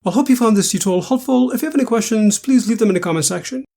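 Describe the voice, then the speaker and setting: A man narrating a scripted software tutorial step by step in a methodical, instructional manner. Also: high-pitched, very fast.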